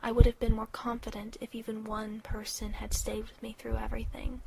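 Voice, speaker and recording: A woman playing a shy, anxious teenage schoolgirl who narrates and speaks in an amateur audio drama, hushed and hesitant. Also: fairly high pitch, completely flat delivery, a little fast, vocal fry. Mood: subdued confiding. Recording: noisy, busy crowd ambience behind.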